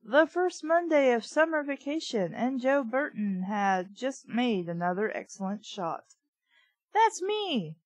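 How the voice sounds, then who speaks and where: A woman's voice: medium pitch, dry recording. A woman reading a book aloud casually, breaking off into snarky, rambling, conversational asides. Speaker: host reading a children's book aloud with commentary.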